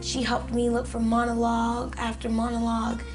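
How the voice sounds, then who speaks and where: Nervous teen girl over loud music. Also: completely flat delivery, moderate pace. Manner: nervous, low confidence. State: recovering from being sick. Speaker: student auditioning.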